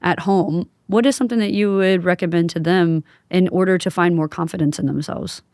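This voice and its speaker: A woman's voice: on the low side, steady tone, fairly quick, a little mellow, no echo, studio quality. A woman in her thirties hosting a casual interview, talking emphatically and often checking that she is understood. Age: 30s. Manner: emphatic, conversational, frequent rhetorical check-ins. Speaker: podcast host and interviewer.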